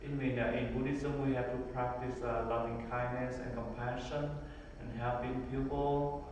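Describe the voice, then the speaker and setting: A Buddhist monk with a Vietnamese accent who answers interview questions in simple English, pausing often and filling gaps with hesitations. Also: male voice, medium pitch, little pitch movement, darkish tone. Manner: halting, many pauses and fillers, simple English. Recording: echoey hall, far from the mic, faint noise.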